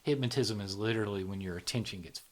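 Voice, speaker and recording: A man with a low voice hosts a casual podcast chat. He explains things haltingly in short pieces, often seeking agreement as he goes. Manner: halting, often checks in with "right?". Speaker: podcast host.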